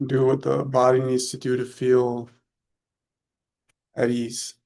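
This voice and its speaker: A man leading a guided meditation in short, unhurried, soothing phrases with long pauses between them. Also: medium pitch, completely flat delivery, creaky, a little mellow.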